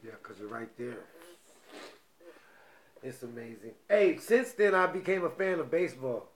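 A man hosting a podcast in loose, profane casual chat, getting loud and animated when excited. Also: mid-range voice, normal speed. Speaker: podcast host.

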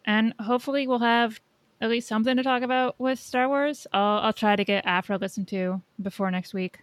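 A woman's voice: a little high, fairly even intonation, brisk, no room sound. A woman co-hosting a podcast in casual, conversational chat. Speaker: podcast co-host.

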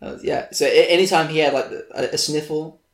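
Young aussie guy rambling on a podcast, gravelly fry, laughs a lot, echoey room. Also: on the high side, steady tone, brisk. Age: young adult. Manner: rambling, laughing, self-mocking. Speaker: podcast co-host. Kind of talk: casual chat.

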